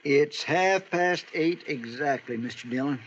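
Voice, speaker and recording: An actor playing a loyal, worried frontier deputy with a rural western American accent and a folksy, hesitant manner, in a 1950s radio western. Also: male voice, on the high side, measured pace, lightly acted. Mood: uneasy. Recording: dull, noisy, clicking 1950s radio recording.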